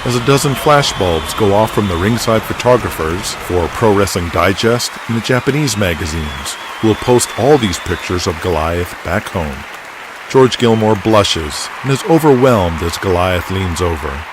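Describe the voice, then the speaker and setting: Deep-voiced male drama narrator. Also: animated, normal speed, crowd noise, background noise. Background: music.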